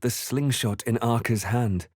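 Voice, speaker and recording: An actor playing a composed, brooding adventurer in a video game, recounting the journey with measured, grave, dramatic narration. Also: male voice, mid-range voice, a little mellow, dry recording, studio quality, lightly acted.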